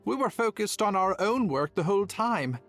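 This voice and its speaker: A man's voice: high-pitched, animated, normal speed. A man voicing an earnest, loyal male kitchen worker who explains things plainly. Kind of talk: game voice acting. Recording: game audio with soft music.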